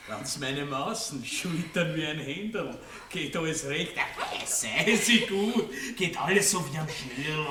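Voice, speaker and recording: An actor playing a coarse, self-satisfied country baron in a radio play, with a Viennese accent and pompous, leering bluster. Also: male voice, a little high, expressive, slow, few pauses. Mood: gloating, aroused. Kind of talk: German-language radio play acting. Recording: roomy, distant, lightly noisy old radio recording.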